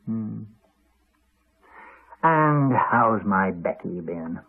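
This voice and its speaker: Sardonic taunting husband, measured cruelty, very varied intonation, 1980s radio play, tinny narrow-band sound. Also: male voice, lowish voice, animated, gravelly, soft background music, full character voice. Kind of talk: 1980s radio drama acting.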